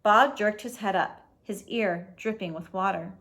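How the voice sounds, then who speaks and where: Woman doing a read aloud, expressive storytelling, medium pitch, casual explainy way for kids. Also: a little slow.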